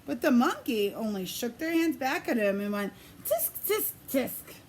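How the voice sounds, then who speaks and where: A woman preschool teacher reading a picture book aloud in an expressive, sing-song way, pausing to put questions to young children. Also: fairly high pitch, slight background noise.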